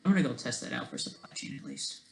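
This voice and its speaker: A man talking off the cuff in a casual, rambling monologue, halting and jumping between thoughts, often checking in with questioning tags. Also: medium pitch, animated, vocal fry, a bit bright. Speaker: solo online video creator. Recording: echoey, roomy sound.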